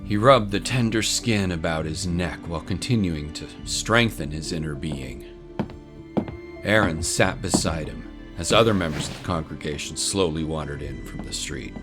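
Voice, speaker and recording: Male narrator, very low voice, scripted dramatic fiction reading, clear music bed underneath. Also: normal speed.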